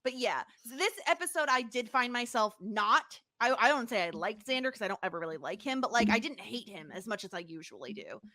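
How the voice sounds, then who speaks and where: A woman with a crisp, very bright tone and a raised pitch. She recaps a TV episode casually as co-host, dropping in animated quoted asides. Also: a little fast, few pauses. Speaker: podcast co-host.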